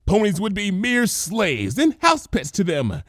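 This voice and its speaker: A high, wildly animated young mare, proclaiming grand conspiracies as a storybook character. The delivery is theatrical and unhinged, recorded close and dry. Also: male voice, unhurried, no echo, heavily acted. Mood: ominous, dramatic. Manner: dramatic, proclaiming.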